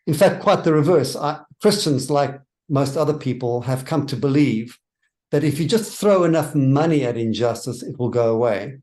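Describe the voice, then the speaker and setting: Brief: South African man of middle pitch, church author giving measured, earnest interview answers. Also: lively intonation, darkish tone.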